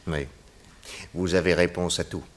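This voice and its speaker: An actor playing a skeptical private detective who questions courteously and insistently in a French radio drama. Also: male voice, low voice, steady tone, a little slow.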